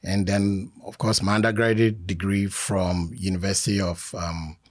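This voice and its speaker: A man's voice: low-pitched, little pitch movement, moderate pace, dry recording, studio quality. A man from Ghana, a civil engineer, describing his path in an earnest, upbeat way with some fillers. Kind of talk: casual interview chat.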